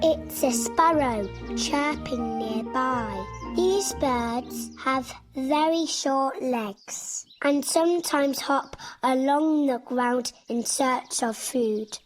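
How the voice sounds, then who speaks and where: A woman's voice: sits high, drawn-out words, hardly any pauses. A woman playing a cheerful, trusting little girl in a children's fairy tale, speaking in a friendly, simple way to young listeners. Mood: cheerful teaching. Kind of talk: children's storybook animation. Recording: music behind the voice.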